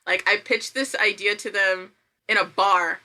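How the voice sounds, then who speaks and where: A woman chatting casually as a podcast guest, telling self-deprecating stories and acting out her own thoughts in an animated way. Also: mid-range voice, unhurried, poor audio. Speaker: podcast guest, writer and creator. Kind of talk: casual chat, anecdotal storytelling.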